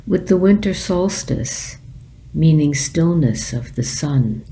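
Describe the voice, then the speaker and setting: Slow, low-voiced woman guiding meditation. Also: lively intonation, low-quality recording. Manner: slow, gentle, with long pauses. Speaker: meditation teacher. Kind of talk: guided meditation.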